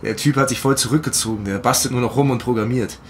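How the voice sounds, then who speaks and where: Young male accomplice, played by an actor, cautious, medium pitch, natural drama, clear background noise. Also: fast, natural voice. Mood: confident. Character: cautious young man, tech-savvy accomplice. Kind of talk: naturalistic audio drama dialogue.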